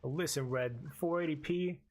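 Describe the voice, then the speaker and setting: A man's voice: on the high side, normal speed, a little mellow. A man casually commentating his gameplay, cursing and rambling with self-mocking jokes. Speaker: let's player.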